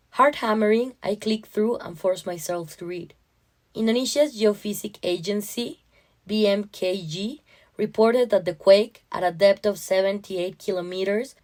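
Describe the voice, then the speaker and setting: A woman narrating a first-person story in a measured, expressive way. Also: medium pitch. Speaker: short story reader.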